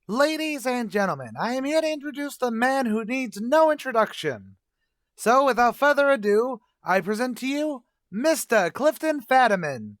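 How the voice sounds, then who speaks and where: A brash tavern manager from an old radio comedy, played by a man, wisecracking in cocky, streetwise, ungrammatical talk. The voice sits high and swings widely in pitch, in a dry, close recording. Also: animated, normal speed, dry recording. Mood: showy. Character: brash, fast-talking, malapropping male tavern manager.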